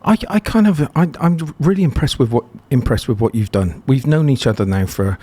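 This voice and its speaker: A man's voice: medium pitch, lively intonation, brisk, mellow, husky. A man hosting a podcast interview, upbeat and friendly, with playfully teasing questions. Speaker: podcast host.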